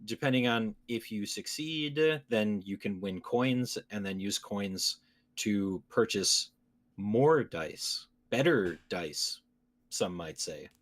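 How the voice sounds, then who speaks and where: Male podcast co-host, casual video game chat, lower-pitched voice. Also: animated, slow.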